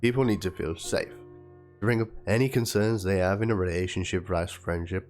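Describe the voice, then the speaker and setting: A man with a fairly low voice performs a dramatic reading of a written document. He narrates it theatrically. Faint music plays behind his voice. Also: moderate pace, darkish tone. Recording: music under the voice. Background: background music.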